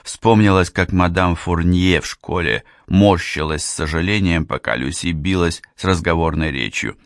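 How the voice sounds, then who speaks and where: A man narrating an audiobook in a measured storytelling manner, reading translator's notes aloud. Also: deep voice, no room sound, studio quality. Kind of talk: scripted narration.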